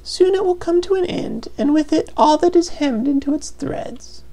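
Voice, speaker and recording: An actress playing an elderly, motherly weaver guardian in a video game, speaking in a grave, portentous, deliberate way. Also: high voice, lively intonation, mellow. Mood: grave, foreboding. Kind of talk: dramatic video game voice acting.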